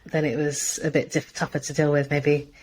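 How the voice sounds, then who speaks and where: A woman's voice: sits low, little pitch movement, normal speed, darkish tone, a little hiss or hum, rough recording. A woman hosting a podcast interview, effusive and full of fillers and restarts. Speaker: podcast host. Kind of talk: warm interview chat.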